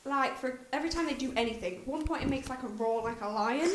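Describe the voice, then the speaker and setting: High-voiced woman in a noisy, echoey room. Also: quick pace. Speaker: podcast co-host. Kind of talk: casual chat.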